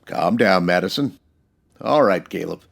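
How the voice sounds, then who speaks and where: A man playing a steady, decent United States marshal in an old-time radio western, plainspoken and folksy. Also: sits low, a little slow, vocal fry, exaggerated voice. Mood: grave, disapproving.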